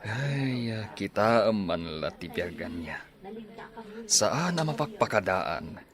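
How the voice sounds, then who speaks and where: A man's voice: on the low side, big pitch swings, slow pace, crowd noise. An actor playing a stern middle-aged village father in a radio drama, with music behind him. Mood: grave. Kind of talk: radio drama acting in Ilocano. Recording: music under the voice.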